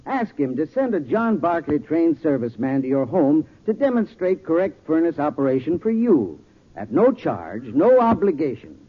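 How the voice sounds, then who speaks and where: A man's voice: fairly high pitch, big pitch swings, normal speed, husky. An American man giving a folksy, instructive scripted talk as a 1940s radio advertising expert. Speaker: advertising spokesman, home heating expert. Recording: tinny 1940s radio recording.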